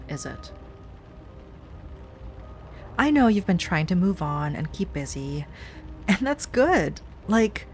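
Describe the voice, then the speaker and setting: A woman playing an obsessive, sweetly pleading ex-girlfriend in an intimate audio roleplay, speaking softly and haltingly. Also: fairly low pitch, exaggerated voice. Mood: gently insistent. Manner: soft, halting, wistful, with sighs and nervous little laughs. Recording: music under the voice.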